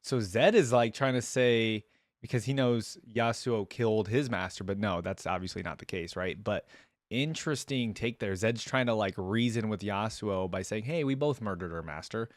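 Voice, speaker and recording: A man's voice: fairly low pitch, normal speed, dry recording, studio quality. A man hosting a reaction video, chatting casually and thinking aloud as he talks to his viewers. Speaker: host of a game voice-line reaction video.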